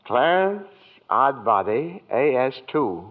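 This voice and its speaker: An older man acting in a 1940s radio drama as a gentle, naive guardian angel with quaint, fussy politeness. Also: medium pitch, sing-song, slow. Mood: cheerful, patient. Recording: phone-like 1940s radio broadcast recording.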